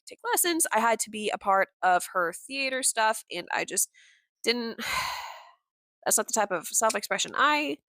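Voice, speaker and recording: Candid young woman with a warm, deeper voice. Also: no echo. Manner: candid and impassioned, with frequent "like" fillers and mild swearing. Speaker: podcast host. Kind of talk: casual personal monologue.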